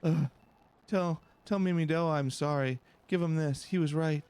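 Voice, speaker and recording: A man's voice: a little high, fairly even intonation, moderate pace, a little mellow, clean tone, pitched above the performer's own voice, lightly acted. A man voicing a bewitched, desperate prisoner in a video game, pleading obsessively. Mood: desperate, entranced.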